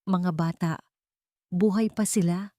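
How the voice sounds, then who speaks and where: A woman with a Filipino accent voicing a grave, suspicious billionaire husband who speaks in quiet, probing questions. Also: on the low side, steady tone, takes it slowly, dry recording, clean studio sound. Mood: stunned.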